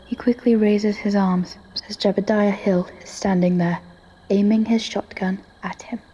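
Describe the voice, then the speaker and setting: A woman's voice: medium pitch, steady tone, a little slow, warm tone, breathy edge, muffled recording. A woman reading scripted story narration for an audio drama.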